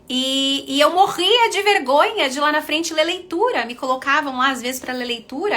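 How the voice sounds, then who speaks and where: Bright-voiced Brazilian woman coaching viewers. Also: sits high. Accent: Brazilian Portuguese. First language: Portuguese. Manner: warm, chatty, addresses viewers as friends. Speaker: confidence coach. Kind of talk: casual livestream talk to followers.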